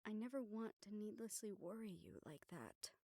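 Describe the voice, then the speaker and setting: Casting: actress as poised young noblewoman, audio roleplay. Mid-range voice, gentle, measured, formal delivery, dry close-mic sound. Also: medium pitch, lively intonation, no echo. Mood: tender, sincere. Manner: measured, gentle, formal phrasing with deliberate pauses. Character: composed young noblewoman soothing her worried dragon bodyguard. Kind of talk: intimate audio roleplay acting.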